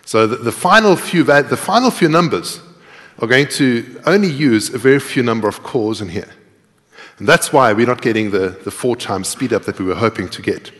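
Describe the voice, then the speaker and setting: A man's voice: mid-range voice, animated, normal speed, a bit bright. A middle-aged man giving a live coding talk, explaining step by step in a conversational way and putting questions to his audience. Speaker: conference speaker and programming instructor. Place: large tiered lecture auditorium, indoors. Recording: reverberant lecture hall echo.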